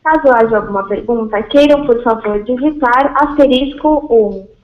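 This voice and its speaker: A woman's voice: fairly high pitch, normal speed. A woman with a Brazilian accent reading formal scripted announcements as a conference call operator. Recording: echoey, dull conference call audio.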